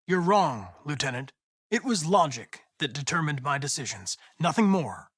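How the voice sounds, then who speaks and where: A young american android detective in a video game, polite but determined, pretty high voice, super precise and formal. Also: male voice, sing-song, moderate pace, fairly bright tone, slightly rough, full character voice. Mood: formal, insistent. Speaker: actor voicing a video game character.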